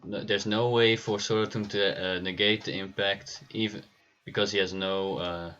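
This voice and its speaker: A man hosting a livestream and commentating a card game match, relaxed and laughing easily, with frequent pauses. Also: fairly low pitch, highs cut off. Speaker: stream host and caster.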